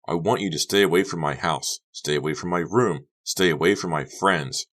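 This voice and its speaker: A furious, shaken teenage girl, as a deep-voiced male reader puts her on, snapping out short, clipped accusations. Her voice sits only a little above his own low register. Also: normal speed, fairly bright tone. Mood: angry. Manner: tense, clipped accusing delivery.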